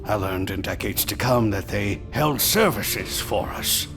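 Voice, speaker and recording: A man's voice: lowish voice, expressive, unhurried. A man playing a bitter, disillusioned, centuries-old man in an audio drama, sardonic and weary. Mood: bitter, wounded. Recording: music behind.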